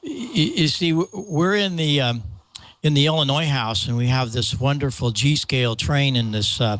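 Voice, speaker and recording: An older American man talking casually as a show host about gear, rambling and halting with stammered restarts. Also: mid-range voice, a bit bright, dry recording. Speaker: show host and microphone maker. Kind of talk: casual show talk, explaining audio gear.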